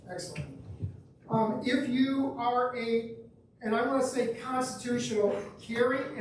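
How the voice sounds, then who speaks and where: A man moderating a political forum speaks casually, with frequent pauses. He sounds distant, and a long echo and faint background noise fill the hall. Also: high voice.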